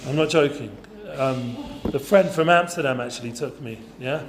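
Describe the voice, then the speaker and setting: A man's voice: medium pitch, normal speed, noisy background. A man, talking casually to a youth group with lots of fillers and asides. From North London, England. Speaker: guest speaker for students. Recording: roomy echoey room.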